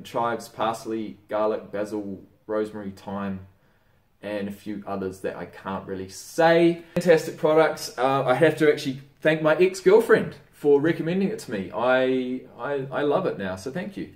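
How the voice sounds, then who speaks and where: A young Australian man casually giving diet tips in a chatty, swearing, relaxed vlog manner. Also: medium pitch. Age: young adult. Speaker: fitness vlogger. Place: indoor room by a window. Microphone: camera mic on desk. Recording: roomy, mic a bit distant.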